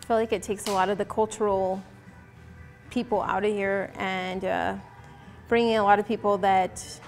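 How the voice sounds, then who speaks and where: A woman's voice: mid-range voice, level tone, moderate pace. Young woman over faint music. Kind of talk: casual documentary interview.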